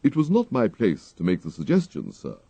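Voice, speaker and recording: A man voicing a deferential, unflappable English valet in a storybook, speaking in formal, ornate, measured phrases. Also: on the low side, wildly swooping pitch, creaky, warm tone, slightly rough, dry recording, pitched above the performer's own voice, exaggerated voice. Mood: suave. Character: dignified English gentleman's valet, deferential, unflappable, dryly clever.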